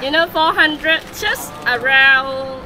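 A woman's voice: high voice, slow pace. A woman in her twenties with a Vietnamese accent, selling casually in broken English at a market stall. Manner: broken, non-native English. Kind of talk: casual sales chat. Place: busy covered market stall. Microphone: vlog camera at conversational distance. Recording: noisy market background.

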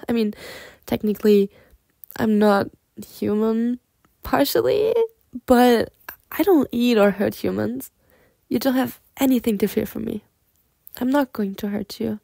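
Woman acting a flirty, mellow, high-voiced lamia. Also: creaky, no room sound. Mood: coaxing, playful. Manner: flirtatious, coaxing, breaking into soft giggles and whispered asides. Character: flirty, teasing, confident lamia woman, half snake. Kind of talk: ASMR roleplay acting addressed to the listener.

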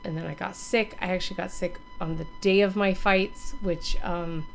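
A hoarse woman in her thirties, still recovering from illness, gives a rambling, casual channel update to a webcam from her home gaming room. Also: medium pitch, wildly swooping pitch, moderate pace, slight background noise. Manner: rambling, many ums and restarts. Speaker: gaming streamer and YouTuber.

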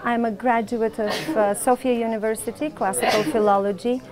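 A middle-aged woman with a Bulgarian accent, a Latin teacher answering interview questions in a classroom in a thoughtful, measured way. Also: on the high side, fairly even intonation, a crowd in the background. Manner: thoughtful, measured, with soft fillers.

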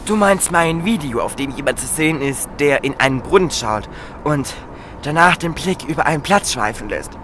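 A woman playing a bossy, sceptical young boy detective in an audio drama, brisk and reproachful. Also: deep voice, expressive, a bit bright, a little hiss or hum.

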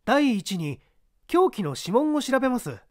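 A man reading a mystery aloud, voicing an earnest young detective who asks polite, crisp questions. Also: very high-pitched, big pitch swings, a little slow, no room sound, studio quality, voice pushed up, full character voice.

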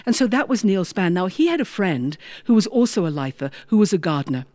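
A woman telling a personal story in an expressive way, with dramatic pauses and emphatic repetition. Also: mid-range voice, no room sound. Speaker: storyteller, former prison chaplain. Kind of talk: live-style personal storytelling with acted dialogue.

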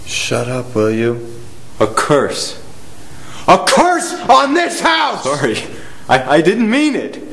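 A nervous young actor, played by a young man, who talks high and slow, with pitch that leaps around and stammers. His voice rings in a roomy stage space over a faint hiss. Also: wildly swooping pitch, a bit bright, full character voice. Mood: frantic. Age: young adult. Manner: stammering, anxious, prone to outbursts. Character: young nervous male actor, anxious and excitable. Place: small dark theater stage.

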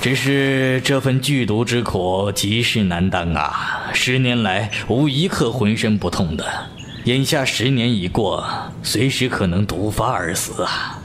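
An actor playing a seasoned, urbane middle-aged martial-arts master in a wuxia audio drama, speaking in a measured, avuncular, theatrical way. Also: male voice, fairly low pitch, fairly bright tone, a little hiss or hum. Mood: weary, resigned. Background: music.

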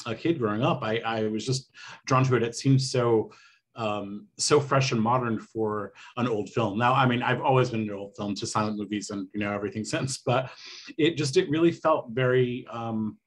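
A man's voice: medium pitch, normal speed. A middle-aged man talking in a rambling, reflective way as a guest on a video call panel. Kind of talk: casual panel discussion. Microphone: video call microphone.

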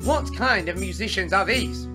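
An actor playing a pompous, blustering man posing as a tsar, imperious and excitable, in a dubbed film comedy. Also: high voice, normal speed, music in the background. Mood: indignant, bossy.

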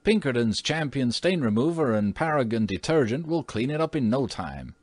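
A man's voice: mid-range voice, sing-song, moderate pace, darkish tone, no room sound, pitched above the performer's own voice. A man voicing a brash, confident young son who speaks emphatically.

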